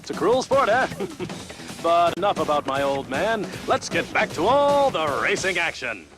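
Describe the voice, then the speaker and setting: An actor playing a showy TV race presenter with broadcast patter in a video game. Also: male voice, high voice, very animated, unhurried, over music.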